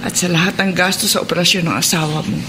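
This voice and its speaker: A woman's voice: fairly low pitch, fairly even intonation, fast talker, natural voice. Woman acting a concerned Filipino mother-in-law, noisy recording. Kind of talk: Filipino radio drama acting.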